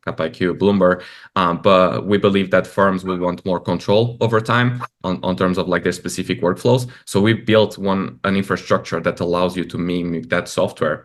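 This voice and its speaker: A man from Portugal, a startup founder, talking loosely in an interview, with frequent fillers. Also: low-pitched. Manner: loose and conversational, many fillers like "like" and "uh". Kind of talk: casual interview talk.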